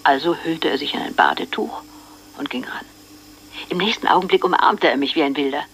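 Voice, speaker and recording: An actress playing a middle-aged wife who recalls past events in a confiding way, in a radio drama with background noise. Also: female voice, sits low, expressive, brisk, a bit bright. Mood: recalling. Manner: confiding and reminiscent.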